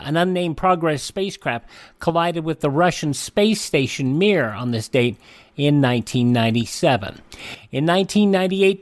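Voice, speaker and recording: Brief: male radio host, fairly high voice, reads history notes dry and close-miked, corrects himself offhand. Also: dry recording. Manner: self-correcting, offhand asides. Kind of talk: this-day-in-history rundown.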